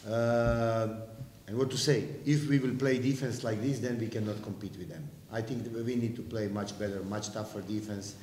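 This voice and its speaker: Low-voiced hesitant coach. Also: male voice, fairly even intonation, darkish tone, slight background noise. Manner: hesitant, measured, many "uh" pauses. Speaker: home basketball coach. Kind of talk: post-game press conference answers. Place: press room with sponsor backdrop. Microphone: table mic. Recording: echoey room.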